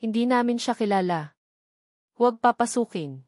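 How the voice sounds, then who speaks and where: A woman's voice: on the high side, expressive, normal speed, darkish tone, dry recording, clean studio sound, natural voice. A woman reading the lines of a stern, wealthy man who speaks with cold authority.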